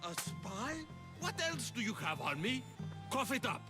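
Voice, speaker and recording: A man's voice: high-pitched, sing-song, measured pace, full character voice. An actor playing a cornered, desperate older man in a video game, evasive and defensive. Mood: alarmed. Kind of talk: video game cutscene acting. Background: background music.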